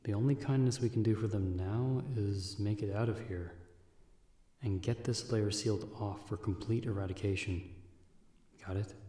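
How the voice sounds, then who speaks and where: An echoey recording with a faint hiss carries a calm vampire monster hunter acted by a man. His voice is low and mellow. He speaks straight to the listener in measured, reassuring terms, like the seasoned mentor of a novice in an audio roleplay. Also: natural voice. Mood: grim, firm.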